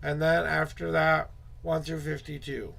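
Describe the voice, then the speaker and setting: Man, hoarse, gravelly fry, somewhat high pitch, casual livestream host, chatty asides. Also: little pitch movement, fairly bright tone. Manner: loose and chatty, mutters asides to himself; upbeat, drawn-out greetings to viewers. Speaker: tarot reader and livestream host.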